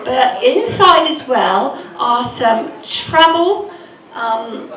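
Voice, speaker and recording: A woman's voice: high-pitched, sing-song, drawn-out words. A woman giving a chatty, teasing tribute speech at a club party. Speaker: presenter of a gift. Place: club function room. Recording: echoey room with crowd.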